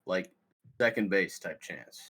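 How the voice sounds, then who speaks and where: A man's voice: low-pitched, slow pace, a bit bright, heavily acted. A man playing an eager, scheming young stoner slacker in a comedy table read, with casual, slangy delivery.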